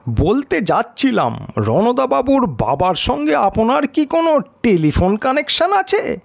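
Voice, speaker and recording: A man's voice: very high-pitched, big pitch swings, a little slow, vocal fry, no room sound, clean studio sound, exaggerated voice. An actor playing a timid, bewildered male writer who narrates his own tale, hesitant and questioning. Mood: hesitant, wondering. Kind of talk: first-person audio story narration with acted dialogue.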